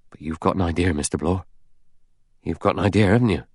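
A man voicing an anxious, bewildered butler with a hoarse voice in an audiobook. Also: deep voice, expressive, moderate pace, darkish tone, no echo, clean studio sound, heavily acted. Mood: probing. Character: frightened, bewildered male butler, anxious servant. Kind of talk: audiobook character dialogue.

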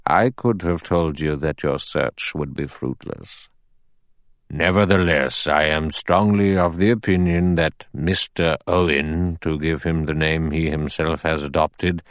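A man voicing an elderly male judge who speaks in a measured, precise, quietly authoritative way. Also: very deep voice, dry recording, clean studio sound, full character voice. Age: older.

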